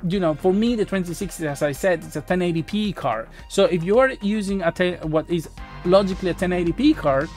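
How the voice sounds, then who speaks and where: Tech vlogger, man in his thirties, Spanish accent, high-pitched, lively and halting, faint music bed. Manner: animated, gestures a lot, halting restarts. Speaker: tech YouTuber. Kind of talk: casual talk to camera. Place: small home studio room. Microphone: boom mic near the face.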